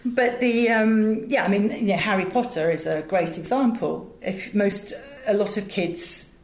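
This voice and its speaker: A woman's voice: mid-range voice, unhurried. A middle-aged English woman talking as an interview guest, chatty, articulate and given to digressions as she tells stories. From England. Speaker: interview guest, voice director and radio producer. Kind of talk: conversational interview, storytelling. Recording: echoey, roomy sound.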